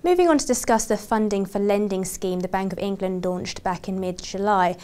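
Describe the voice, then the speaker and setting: A woman's voice: mid-range voice, normal speed, a little mellow, clean tone. A woman working as a broadcast journalist, putting scripted interview questions in a formal, polished presenter's delivery.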